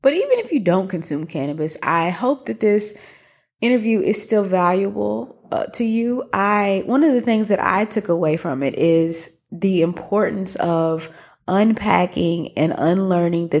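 A woman's voice: on the low side, expressive, unhurried, darkish tone. Woman hosting an interview podcast. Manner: friendly, reflective, uses fillers. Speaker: podcast host.

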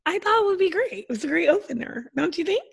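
Slightly hoarse, giddy woman podcaster. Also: very high voice, sing-song, fast talker. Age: 30s. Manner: giddy, giggly. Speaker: podcast co-host. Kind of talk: casual, joking podcast chat. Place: remote video call.

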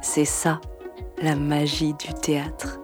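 Woman, very low voice, very slow deliberate speech, personal story, music faint behind. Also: completely flat delivery. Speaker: story guest speaking in French.

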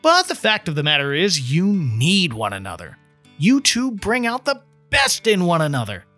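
A man's voice: high voice, huge pitch swings, normal speed, pushed and bright, faint music behind, pitched above the performer's own voice, full character voice. A man voicing an earnest, impatient trainee guardian angel who explains things with mild condescension in a storybook reading. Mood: frustrated. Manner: explanatory, mildly condescending toward humans.

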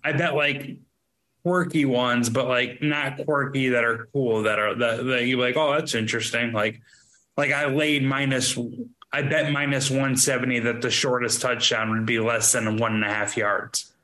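Brief: male podcast co-host, bright forceful tone, loose rambling delivery full of "like". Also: mid-range voice, fairly even intonation, moderate pace. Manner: rambling, filler-heavy, laid-back. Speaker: podcast co-host, sports bettor. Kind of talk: casual podcast chat.

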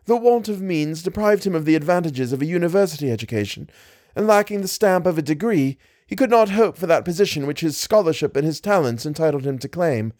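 A distressed young lady of genteel manners, as a male reader puts her on, pleading in a low, murmuring voice raised well above his own. Her speech is formal and ornate, in a dry, close recording. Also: expressive, brisk, a little mellow, no echo, natural voice. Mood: earnest. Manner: formal, ornate Victorian diction.

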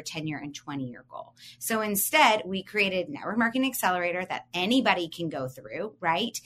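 A woman's voice: mid-range voice, fairly bright tone, slight background noise. A woman in her forties co-hosting a podcast, coaching listeners in an upbeat, emphatic way and asking them questions. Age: 40s. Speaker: podcast co-host.

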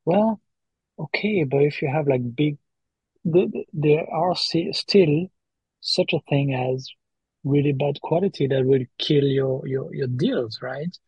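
A man's voice: a little high, unhurried, a little mellow, husky, no room sound, dull audio. A man hosting a podcast in casual chat, often repeating words and tagging his points with "right?". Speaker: podcast host.

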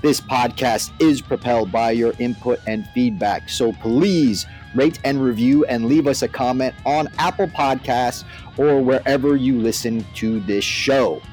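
A man with a medium-pitched voice hosts a podcast in a casual, slangy way. Guitar music plays behind him as he talks. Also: a little slow, darkish tone. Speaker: podcast host.

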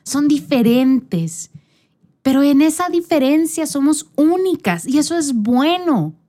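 A woman's voice: sits high, animated, slow pace, warm tone. A woman podcast host giving a casual, personal faith talk, conversational and earnest, speaking directly to the listener. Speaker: podcast host and church singer.

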